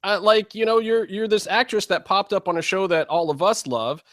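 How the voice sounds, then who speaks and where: Man, fairly high-pitched, podcast interviewer, casual chat, very dry recording. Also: normal speed, fairly bright tone, no echo.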